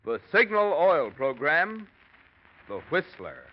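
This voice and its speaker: A male radio announcer introducing the show and delivering an upbeat sales pitch. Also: sing-song, takes it slowly. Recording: dull old radio recording.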